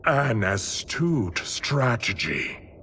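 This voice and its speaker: A man playing a cold, calculating dark sorcerer with stately, formal speech in a game cinematic. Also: mid-range voice, big pitch swings, drawn-out words, slight background noise, heavily acted. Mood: grave. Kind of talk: video game cinematic acting.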